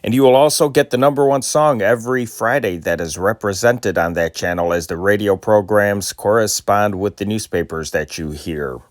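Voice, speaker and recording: A man's voice: fairly low pitch, normal speed, hardly any pauses, no room sound, clean studio sound. A man reading old newspaper stories aloud, with occasional stumbles and restarts. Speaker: amateur news reader and channel host.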